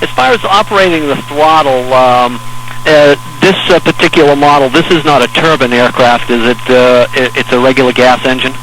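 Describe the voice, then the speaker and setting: An American man working as an air traffic controller, giving measured, hesitant, reassuring step-by-step instructions over aviation radio. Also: mid-range voice, a bit bright, rough recording. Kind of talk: instructional radio talk to a pilot in an emergency.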